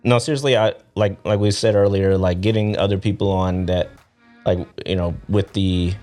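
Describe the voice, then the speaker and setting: A man talking as a podcast guest in relaxed, rambling chat full of fillers. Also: low-pitched, normal speed, soft background music.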